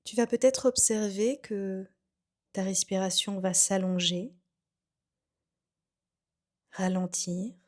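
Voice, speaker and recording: A woman guiding a relaxation session as a yoga nidra instructor, soothing and unhurried, with phrases that trail off softly. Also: mid-range voice, steady tone. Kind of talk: guided meditation, calm instruction.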